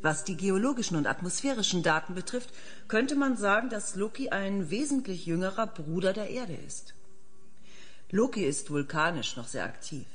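An actress in a German audio drama playing an enthusiastic planetary scientist, precise and lecturing. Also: female voice, mid-range voice, lively intonation, moderate pace, husky. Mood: factual, interested. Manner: precise, lecturing, openly fascinated.

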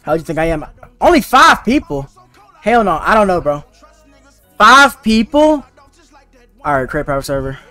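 Slangy teen boy streamer, high voice. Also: sing-song, normal speed, long pauses, pushed and bright, dry recording, faint music behind. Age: teens. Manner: slangy and laid-back, talking to chat. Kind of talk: casual live-stream chat while gaming.

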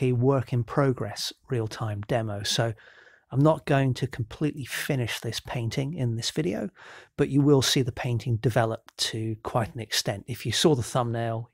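A man with a bit of a cold talks through a painting demo in a relaxed, explanatory way. His voice carries a gravelly edge at a middling pitch. The sound is dry and close, with almost no room around it. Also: no room sound. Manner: relaxed, explanatory, with frequent ums and self-corrections. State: has a cold. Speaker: painting instructor. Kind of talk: real-time painting demo narration.

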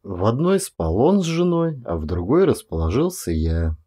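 Animated male audiobook narrator. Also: fairly low pitch, moderate pace, breathy edge. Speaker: actor reading an audiobook. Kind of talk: expressive literary narration.

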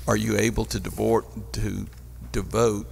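An older man questioning staff as a council member in a formal meeting, halting and deliberate, at a desk microphone in a chamber. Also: fairly low pitch, level tone, vocal fry, a little mellow, slight background noise. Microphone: gooseneck desk microphone. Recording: reverberant meeting hall.

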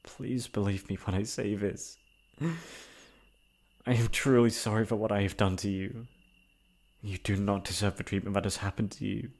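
A man playing a remorseful, self-loathing young prince in a formal, halting audio roleplay monologue. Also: on the low side, fairly even intonation, darkish tone. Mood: anguished, pleading. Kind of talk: dramatic ASMR roleplay monologue.

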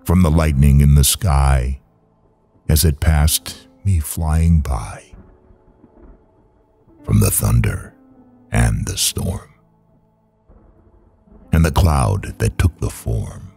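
A man with a gravelly, raspy voice reciting solemn poetry dramatically, brooding, in halting phrases with long pauses. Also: very deep voice, mellow, faint music behind. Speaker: poetry reader.

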